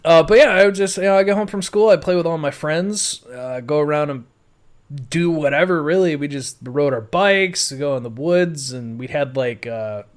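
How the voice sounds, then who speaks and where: An American man with a moderately high voice tells stories from his own life. His delivery is casual and rambling, with loose, blunt swearing, in the manner of a podcast host talking off the cuff. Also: normal speed. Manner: rambling, foul-mouthed. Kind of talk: casual autobiographical storytelling.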